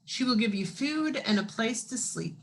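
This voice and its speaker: A woman voicing a solemn, motherly ghostly guide in a children's storybook, giving measured, urgent instructions. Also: fairly high pitch, expressive, slight background noise, rough recording. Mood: solemn warning.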